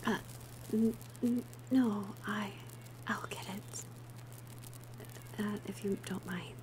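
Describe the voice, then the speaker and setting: A woman voicing a gentle, shy young female alchemist in an ASMR roleplay, softly breathy, hesitant and tender. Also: medium pitch, steady tone, slow, noisy background, natural voice. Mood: gentle, focused. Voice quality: soft, breathy whisper.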